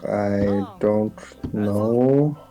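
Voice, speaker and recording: A man with a Chinese accent speaks slowly in a soft, dark tone. He is a guest explaining a game casually, in short, hesitant answers. Music plays faintly behind him. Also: lowish voice, highs cut off. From China. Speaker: guest co-player.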